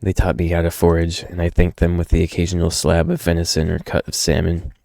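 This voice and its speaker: A gruff, haunted loner tells a grim story in the first person, read by a man. The voice is deep and dark with a creaky edge, brooding and world-weary. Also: monotone, a little fast, no echo, studio quality. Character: gruff, haunted loner brawler narrating his grim past. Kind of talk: dramatic first-person comic narration.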